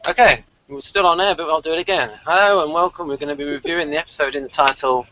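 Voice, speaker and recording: A man's voice: fairly high pitch, fast, bright, forceful tone, a little hiss or hum. A man co-hosting a review show, chatting casually and reading out episode summaries over a lo-fi online call. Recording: dull, lo-fi online call audio.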